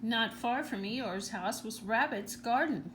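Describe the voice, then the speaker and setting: A woman reading a children's storybook aloud in an animated narrating style. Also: on the high side, slow, slight background noise. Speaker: storybook reader.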